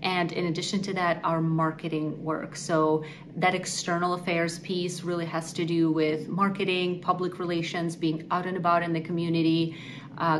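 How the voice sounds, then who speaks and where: A woman's voice: lowish voice, background noise. A woman, speaking earnestly and articulately to camera in a prepared interview. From Ukraine. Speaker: nonprofit executive. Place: indoor office against a wall.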